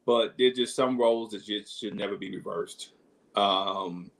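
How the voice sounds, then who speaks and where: Man, co-host, very low voice, relaxed, conversational. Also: measured pace, creaky.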